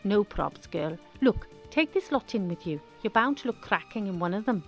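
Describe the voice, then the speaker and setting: A woman's voice: medium pitch, expressive, normal speed, faint music behind, close to an everyday voice. A woman playing a brash, breezy teenage schoolgirl with a Welsh accent and slangy talk in a radio drama. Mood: breezy, eager. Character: bold, brash, cheerful big teenage schoolgirl best friend.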